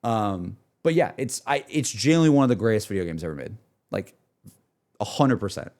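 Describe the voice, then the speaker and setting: A man's voice: lowish voice, sing-song, fairly quick, darkish tone. Enthusiastic young man rambling on stream. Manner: enthusiastic, self-deprecating. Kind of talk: casual livestream chat, rambling gaming talk.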